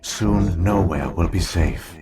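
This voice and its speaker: A man playing an ancient alien virtual intelligence in a sci-fi game, speaking in a formal, measured, grave and weary way. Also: sits low, music in the background. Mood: solemn.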